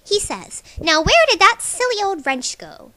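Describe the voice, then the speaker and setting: A woman's voice: extremely high pitch, wildly swooping pitch, a little slow, sharp tone, heavily acted. A woman voicing a playful, stubborn young cat-like alien girl, pouty and insistent, in a character reel for a webcomic. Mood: playful storytelling.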